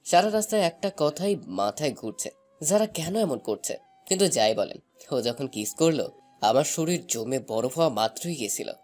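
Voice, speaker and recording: A man's voice: a little high, darkish tone. A man playing a shy young college student, narrating a romantic story in the first person.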